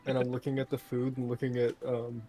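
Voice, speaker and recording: A man's voice: medium pitch, monotone, fast, mellow, faint music behind. A man casually describing his character's actions as a player in a tabletop roleplay game.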